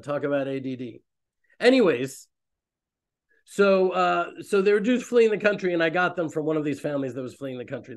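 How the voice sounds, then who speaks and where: A man in his thirties, a rabbi hosting an online fellowship, talking in a loose, rambling, animated way on a webcam from a small room. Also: fairly high pitch. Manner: rambling, animated, self-interrupting. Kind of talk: casual online talk to a community. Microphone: webcam on a video call.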